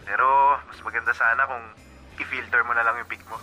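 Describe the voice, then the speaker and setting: A man's voice: medium pitch, level tone, fairly quick, close to an everyday voice, rough recording. An actor playing a smug young man, a mocking childhood friend with an offhand, taunting manner, in a comedic drama. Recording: loud music behind voice.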